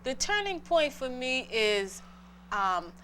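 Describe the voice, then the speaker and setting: A woman's voice: high-pitched, very animated, slow pace, pushed and bright, slight background noise. A middle-aged woman from Boston, a guest entrepreneur earnestly telling her own story in an interview.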